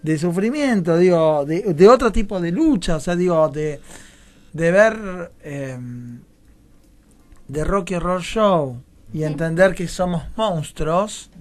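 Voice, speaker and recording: A man's voice: high-pitched, expressive, slow. An Argentine man in a reflective radio discussion as a guest theatre director, philosophizing and often checking for agreement. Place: radio studio.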